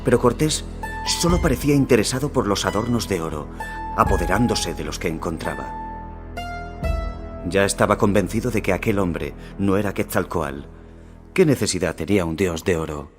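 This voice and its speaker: Game cutscene narrator: grave Aztec warrior, low-pitched, solemn storytelling, Castilian accent, music underneath. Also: male voice, expressive, normal speed. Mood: solemn, foreboding. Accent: Castilian Spanish. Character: grave Aztec jaguar warrior recounting his empire's fall. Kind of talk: scripted dramatic narration, storytelling.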